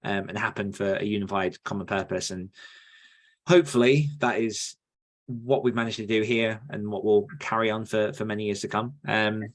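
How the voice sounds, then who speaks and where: Low-pitched man, chatty podcast host. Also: steady tone, moderate pace. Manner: rambling, informal, enthusiastic. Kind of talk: casual podcast chat.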